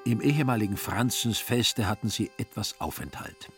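A man's voice: on the low side, moderate pace, a bit bright, soft background music. A man reading scripted literary narration for a radio drama.

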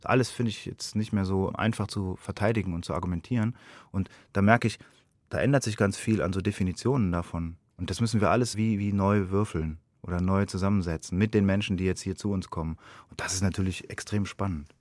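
A man from Germany speaks in a low register with a dry, close sound. He is a playwright being interviewed, and he talks in an earnest, reflective way, often questioning himself. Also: fairly even intonation, fairly quick, darkish tone, dry recording. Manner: thoughtful, earnest, self-questioning.

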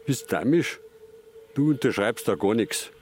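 An actor playing a stubborn, gruff old fisherman father with a Bavarian accent, curt and blunt, in a radio drama. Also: mid-range voice, lively intonation, a little slow, darkish tone, soft background music, natural voice. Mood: indignant, stern.

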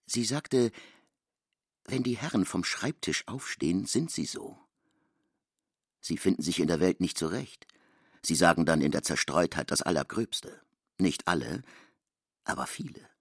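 Musing man for literary audio drama: deep, husky, expressive, dry close recording. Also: measured pace, pauses often, dry recording, exaggerated voice. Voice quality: gravelly, husky. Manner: swooping, expressive delivery.

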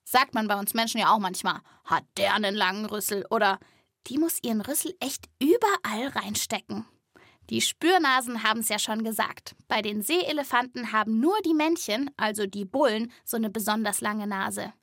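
High-voiced woman hosting a kids' podcast. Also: moderate pace, fairly bright tone, no echo, studio quality. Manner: colloquial, explaining to children. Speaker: children's podcast host. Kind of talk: scripted narration with playful dialogue.

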